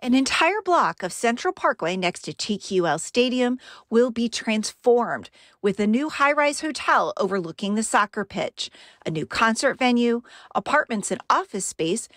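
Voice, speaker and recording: A woman in her forties narrating a news report as an investigative reporter, in a crisp, measured delivery. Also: a little high, sing-song, a bit bright, no room sound, clean studio sound. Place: outdoors beside a parking garage.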